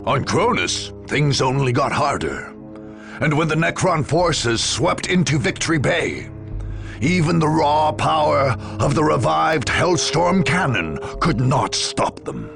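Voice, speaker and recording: A solemn chronicler of grim war, narrates a game with dramatic weight. The gravelly voice moves widely in pitch, deliberate and ominous, over faint music. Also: male voice, sing-song, exaggerated voice.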